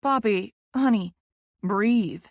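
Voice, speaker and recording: A kindly, businesslike office woman, played by a female narrator speaks in a reassuring, unhurried way. It is an audiobook character, recorded dry and close at a medium pitch. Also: no echo. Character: kindly, businesslike office woman who calls people honey.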